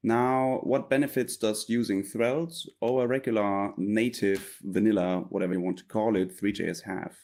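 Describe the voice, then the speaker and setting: A man giving a scripted conference presentation in an even, explanatory way. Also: lowish voice. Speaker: conference speaker.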